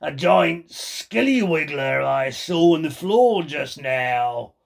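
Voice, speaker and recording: A man's voice: a little high, expressive, takes it slowly, vocal fry, heavily acted. Man doing a sly stammering old husband. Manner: stammering, sneaky.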